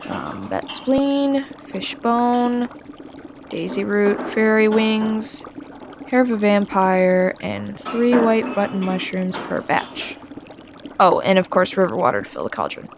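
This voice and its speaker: A woman's voice: medium pitch, noisy background. A woman voicing a mischievous male trickster witch who teaches potion-making in an audio roleplay. The witch snickers, teases and stumbles over hesitant fillers. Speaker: potion tutor.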